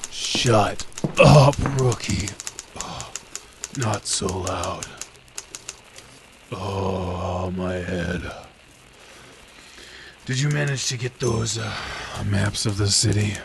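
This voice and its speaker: A weary, hard-drinking detective from an old-style radio play. His voice sits low with a gravelly creak. He is gruff and sounds worn down, over faint background noise. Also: drawn-out words, pauses often, heavily acted. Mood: irritable, groaning. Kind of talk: old time radio drama acting.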